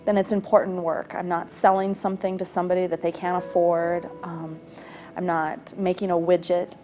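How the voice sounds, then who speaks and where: A middle-aged woman from Wyoming speaking earnestly and in a measured way in an interview. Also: fairly low pitch, flat delivery, mellow, faint music behind. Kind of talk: reflective interview testimony.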